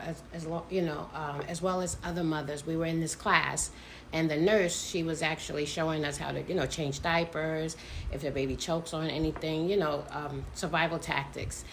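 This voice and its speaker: Middle-aged woman, low and gravelly, measured conversational delivery. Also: slight background noise. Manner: measured, hesitant with fillers. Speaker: mother. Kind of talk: casual conversation.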